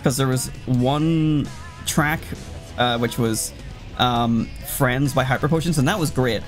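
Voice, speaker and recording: A man's voice: mid-range voice, a little slow. A man giving casual, sweary let's play commentary, rambling and often cutting himself off as he reacts to the game. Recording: loud game music behind. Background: video game music.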